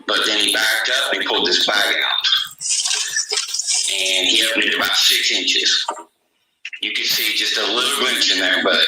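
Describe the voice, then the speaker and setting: A man calling in as a guest searcher, telling his account in a halting, repetitive way. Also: on the low side, heavy background noise. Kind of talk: casual call-in conversation.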